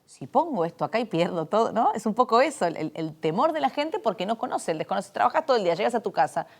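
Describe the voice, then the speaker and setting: A woman with an Argentine Spanish accent hosting a talk, chatty and expressive, tossing in rhetorical questions. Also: medium pitch, fast talker, vocal fry. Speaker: host. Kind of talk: conversational talk show.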